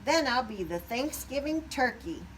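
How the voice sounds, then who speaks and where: A woman voicing a boastful, competitive storybook turkey who brags loudly. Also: sits high, lively intonation, normal speed, slight background noise, pitched above the performer's own voice. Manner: bragging, shouting.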